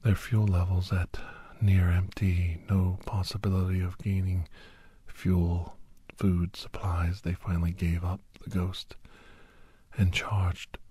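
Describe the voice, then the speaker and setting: A man playing a weary, wry doctor telling his adventures in a hushed, deadpan ASMR style with a husky, breathy half-whisper. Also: low voice, takes it slowly, full character voice. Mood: somber, reflective. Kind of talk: ASMR scripted storytelling. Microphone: close, dry microphone.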